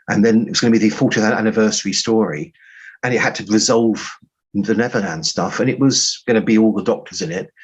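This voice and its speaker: A man telling stories as an interview guest in a halting, rambling, self-deprecating way, on muffled audio. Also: on the low side, husky. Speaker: guest writer. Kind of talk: conversational interview storytelling.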